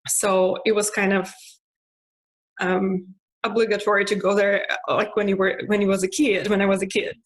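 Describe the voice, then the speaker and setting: Russian-accented woman, hesitant and flat. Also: medium pitch, normal speed. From Russia (Moscow). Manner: hesitant, many fillers and restarts; laughs softly now and then. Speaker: interviewee. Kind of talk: casual personal monologue.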